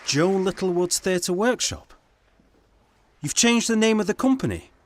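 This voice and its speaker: An indignant, idealistic artist in a radio play. His voice is high and swoops widely as he accuses and argues with passion. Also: expressive, measured pace. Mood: indignant, passionate. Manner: impassioned, accusing. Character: indignant, idealistic male theatre maker and folk musician.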